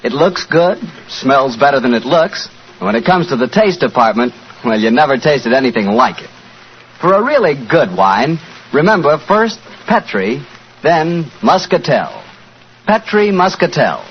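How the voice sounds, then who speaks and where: Animated American 1940s radio announcer, male. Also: measured pace. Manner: folksy, enthusiastic sales pitch. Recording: muffled, hissy 1940s radio broadcast.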